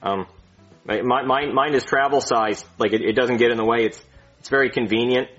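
A man's voice: mid-range voice, steady tone, fairly quick, fairly bright tone, faint music behind, natural voice. A man playing a bumbling, insecure doctor who rambles and stammers, then screams insults at himself, on a dull lo-fi recording. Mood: rambling. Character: bumbling insecure male doctor, self-loathing.

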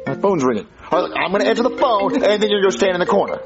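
A man playing an exasperated, excitable father in a comedy skit, with a whiny, pleading, stammering delivery. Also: high-pitched, very fast, vocal fry, highs cut off, music in the background.

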